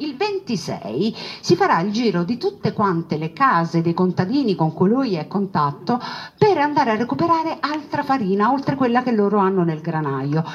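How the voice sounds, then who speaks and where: A woman performing a narrative monologue for an audience, expressive, with conversational asides and repeated phrases. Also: mid-range voice, breathy edge, muffled recording. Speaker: stage storyteller, monologue performer.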